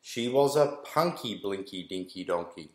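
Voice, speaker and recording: A man's voice: deep voice, animated, moderate pace. Man reading a picture book, roomy echo. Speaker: school staff member reading aloud to children. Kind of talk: read-aloud of a rhyming picture book. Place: small indoor room with bare walls.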